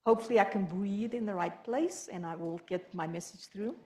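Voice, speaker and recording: Fast-talking woman. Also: mid-range voice, darkish tone. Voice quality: quick, halting delivery with many restarts. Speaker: health professional. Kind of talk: support group presentation. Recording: echoey room.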